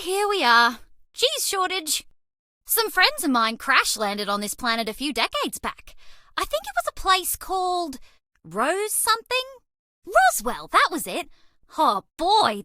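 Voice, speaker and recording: A woman's voice: very high voice, sharp tone, no room sound, clean studio sound, full character voice. A woman voicing a bubbly, cheese-obsessed young alien rodent space traveler in a video game, with a perky, theatrical delivery. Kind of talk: animated game character voice lines.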